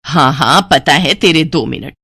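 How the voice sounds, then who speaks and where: A woman plays an irritable middle-aged Indian mother in an audio story. Her pitch sits low for a woman and swings widely as she nags, with sarcasm in her complaints. The sound is dry and close. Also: lively intonation, unhurried, creaky, bright, forceful tone, breathy edge, no room sound, exaggerated voice. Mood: exasperated, scolding. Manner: nagging, sarcastic.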